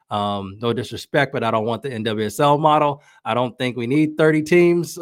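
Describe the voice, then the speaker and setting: A man with a mid-pitched voice gives casual sports analysis as a podcast guest. He is conversational and opinionated, working through his thoughts aloud. The sound is dry, with very little room in it. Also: no room sound. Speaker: podcast guest, women's soccer commentator.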